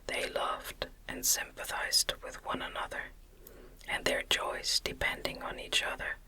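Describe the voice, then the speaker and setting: A woman narrating a gothic novel as ASMR in a breathy, unvoiced whisper, with gentle, deliberate phrasing. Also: rough recording.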